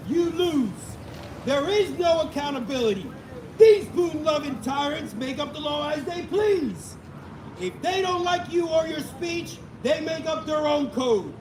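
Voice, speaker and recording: A man from Miami at a street protest, switching between rambling casual talk and loud, declaiming proclamations. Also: very high voice. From Miami, United States. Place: outdoors on a city street. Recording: distant voice, loud street and traffic noise. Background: traffic and urban ambience.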